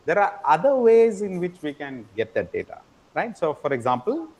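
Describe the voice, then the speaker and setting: A man's voice: fairly high pitch, very animated, moderate pace, darkish tone. Man lecturing online, checking in often. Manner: explanatory, frequent tag question "right?"; repeats words and hesitates with "uh". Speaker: teacher.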